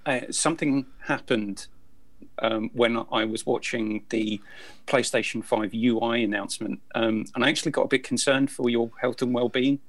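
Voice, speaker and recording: A man hosts a casual group call. He keeps things moving briskly and adds dry remarks, at an even middle pitch. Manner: brisk, organizing, dry humour. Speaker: host keeping the show on track.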